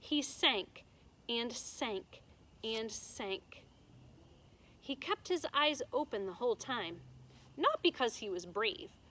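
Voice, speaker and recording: A woman teacher reading a children's storybook aloud in a deliberate, expressive way, explaining things to her students. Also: fairly high pitch, a little hiss or hum, poor audio.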